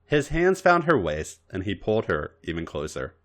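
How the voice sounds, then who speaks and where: A man's voice: sits low, big pitch swings, moderate pace, a bit bright. A man narrating erotic fan fiction for a podcast in a theatrical, dramatic style. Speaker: podcast story reader.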